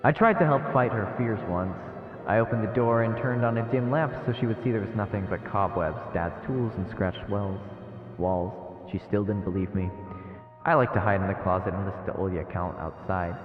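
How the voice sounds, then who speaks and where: Echoey, low-voiced man reading aloud. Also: a little fast. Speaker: streamer reading a visual novel aloud. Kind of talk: narration read aloud with casual asides. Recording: echoey, phone-like narrow sound, long reverb. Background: game music.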